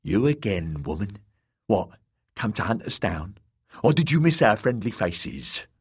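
A man's voice: on the low side, very animated, a little slow, husky, exaggerated voice. Man as drawling, jeering sellsword spearman. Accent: fantasy drawl. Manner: drawling, mocking. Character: jeering male sellsword spearman, coarse and casual.

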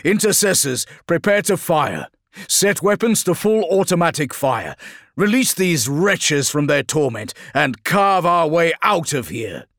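A male narrator plays a commanding space marine captain in the thick of battle. He barks military orders in a raised, high-pitched shout, forceful and dramatic, in a dry audiobook recording. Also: very animated, a little slow, dry recording, exaggerated voice. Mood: urgent, commanding. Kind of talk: dramatic audiobook character acting.

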